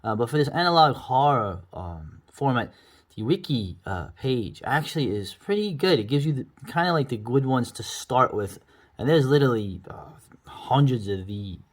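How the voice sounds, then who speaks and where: A man's voice: medium pitch, expressive, moderate pace. A middle-aged man giving casual, rambling, enthusiastic commentary as the host of a video review.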